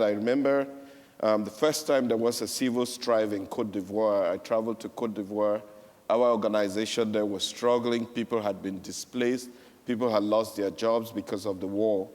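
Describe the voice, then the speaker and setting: Earnest Cameroonian man, low-pitched, echoey hall. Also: moderate pace, darkish tone. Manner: earnest storytelling, emphatic repetition. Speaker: panelist. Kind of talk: panel speech.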